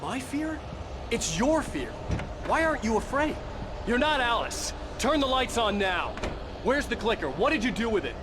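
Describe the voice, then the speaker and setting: A man's voice: extremely high pitch, big pitch swings, normal speed, background noise. A man playing a frightened, distrustful husband in a video game drama, agitated, demanding and panicked.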